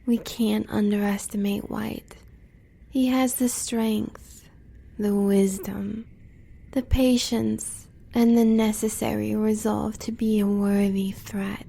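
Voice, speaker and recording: An American woman playing a devoted, coolly bloodthirsty huntress in a romantic audio roleplay, breathy and often whispering. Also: mid-range voice, little pitch movement, dark tone. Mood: confident, menacing. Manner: intimate, measured.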